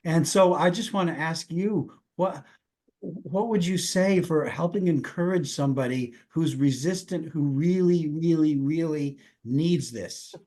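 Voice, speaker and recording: A man on a video call asking a question, earnest and halting. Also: fairly high pitch, warm tone. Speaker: call participant.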